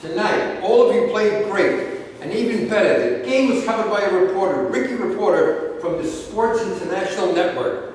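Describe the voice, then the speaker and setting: A man's voice: high-pitched, normal speed, darkish tone, close to an everyday voice. A man playing a proud, encouraging middle-aged basketball coach in a staged reading. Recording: very echoey hall, far mic, faint noise.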